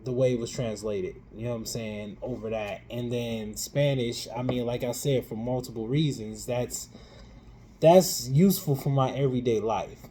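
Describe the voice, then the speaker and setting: A man talks casually as a co-host, animated and full of playful slang. His voice is mellow and relaxed. A faint noise sits under the recording. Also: mid-range voice, moderate pace. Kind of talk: casual chat. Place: living in Europe.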